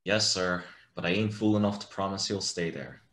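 A man playing a gruff, plain-spoken working-class asylum attendant in a radio play. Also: deep voice, moderate pace, fairly bright tone, reverberant, a little hiss or hum. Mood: gruff, impatient.

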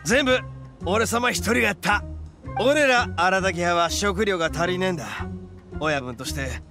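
A man voicing a brash, boastful young oni gang boss in an anime game, with cocky, blustering, rough street talk. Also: high voice, normal speed, music in the background. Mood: defiant.

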